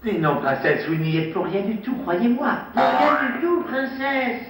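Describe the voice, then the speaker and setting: A man's voice: high voice, sing-song, measured pace. A man playing a loyal, earnest manservant in a French-dubbed cartoon, formal, deferential and a little fussy. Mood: reassuring. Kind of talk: French cartoon dub acting. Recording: very echoey, distant, faintly noisy cartoon soundtrack.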